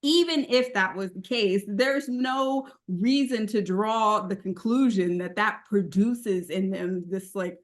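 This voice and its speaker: A woman with an average-pitched voice talks casually as a panelist. She reasons aloud, feeling her way haltingly through each step of an argument. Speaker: discussion panelist.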